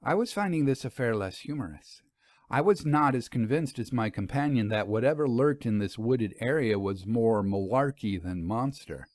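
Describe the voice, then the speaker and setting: A man narrating a scripted first-person story in a measured, literary manner. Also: medium pitch, expressive, a little mellow, no room sound, clean studio sound.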